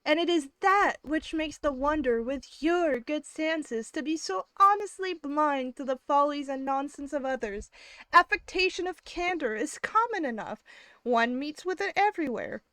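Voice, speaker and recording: A woman voicing a lively, witty young woman with quick, teasing emphasis while reading a classic novel aloud. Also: sits high, no echo, voice pushed up.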